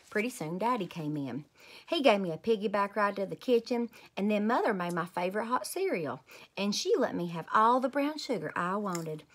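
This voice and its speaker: A woman reading a story aloud to children in an expressive, teacherly style. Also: on the low side.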